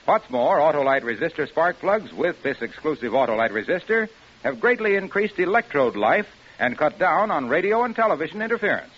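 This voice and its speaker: A man's voice: a little high, very animated, fairly quick. A man announcing a 1950s radio commercial with an emphatic sales delivery. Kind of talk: 1950s radio advertising pitch. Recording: muffled vintage radio recording.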